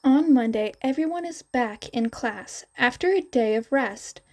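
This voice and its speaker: A woman's voice: sits high, animated, a little slow. A woman reading fan fiction aloud as a scripted storytelling narration.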